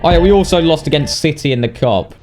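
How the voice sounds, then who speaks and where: A British man giving casual, sweary, mock-indignant commentary on video game highlights. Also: animated, fast, darkish tone, faint music behind. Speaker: gaming video narrator.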